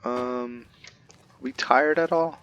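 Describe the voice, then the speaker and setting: A man's voice: medium pitch, fairly even intonation, extremely slow, fairly bright tone, smooth, muffled recording. A man giving casual let's-play commentary, thinking aloud and narrating his own moves as "we". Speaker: gameplay video creator.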